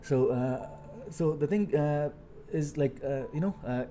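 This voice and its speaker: A man in his thirties from India with an Indian accent, talking casually on a coding live stream, halting with many fillers and repeated words. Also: fairly high pitch, warm tone, soft background music. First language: Hindi. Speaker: live-streaming software engineer.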